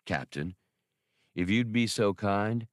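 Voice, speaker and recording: A man voicing a semi-obsolete labor droid, a tired old machine with a slow, polite drawl. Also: fairly low pitch, no echo, clean studio sound.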